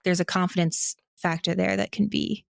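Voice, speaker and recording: A woman's voice: lowish voice, normal speed, gravelly, no echo. Candid woman chatting, close dry sound. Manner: candid, animated self-quoting, frequent "like". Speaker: podcast guest, audio drama creator. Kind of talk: casual interview chat.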